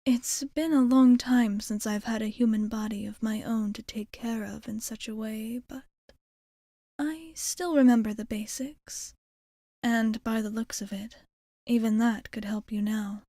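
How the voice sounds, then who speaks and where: Woman acting a doting female vampire bartender, ASMR roleplay, fairly high soft voice, close dry sound, formal and genteel, partly whispered. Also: fairly even intonation, measured pace, no echo. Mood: tender, reassuring. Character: courtly, doting adult female vampire bartender, long-lived.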